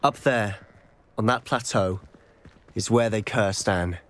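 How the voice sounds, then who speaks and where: A man's voice: lowish voice, takes it slowly, a bit bright. A young man voicing a determined, resentful British teenage schoolboy in a video game, intense and fiercely protective. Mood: grim, determined. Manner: intense, earnest, defiant.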